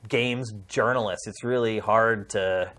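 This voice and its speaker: A man's voice: on the low side, fairly even intonation, a little slow, a bit bright. A middle-aged man speaking as a podcast host, addressing viewers in a casual, heartfelt and emphatic way.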